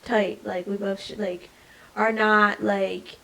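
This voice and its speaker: A woman's voice: medium pitch, little pitch movement, takes it slowly, gravelly, fairly bright tone. A young woman co-hosting a podcast, talking casually in a rambling way full of restarts. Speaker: podcast co-host and editor. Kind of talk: casual chat.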